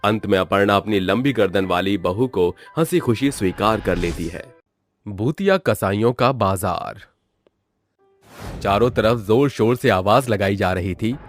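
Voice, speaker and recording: A man narrating a cartoon story in a steady storytelling manner. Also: on the low side, measured pace, faint music behind.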